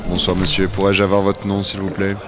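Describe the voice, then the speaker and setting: A man's voice: low voice, monotone, a little fast, noisy background, natural voice. A man playing a polite, formal door guard in a French audio drama. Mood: formal, courteous. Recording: music bed behind voice.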